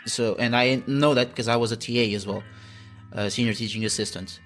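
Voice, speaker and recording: A man's voice: mid-range voice, steady tone, fairly quick, fairly bright tone, soft background music. A man with a Portuguese accent, a native Portuguese speaker, hosting an interview and speaking hesitantly with frequent restarts. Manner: hesitant, searching for words, many restarts. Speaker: podcast host. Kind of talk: casual interview talk.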